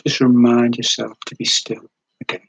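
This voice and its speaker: Guy guiding a meditation, breathy and soothing, even pitch, really dry close sound, lots of pauses. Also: dry recording, highs cut off. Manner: unhurried, soothing, with long pauses between short phrases. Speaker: meditation guide. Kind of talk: guided meditation, slow and gentle.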